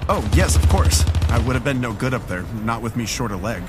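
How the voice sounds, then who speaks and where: An actor playing a determined, steadfast wounded war veteran in an audio drama. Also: male voice, medium pitch, sing-song, a little fast, background noise, close to an everyday voice. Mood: earnest.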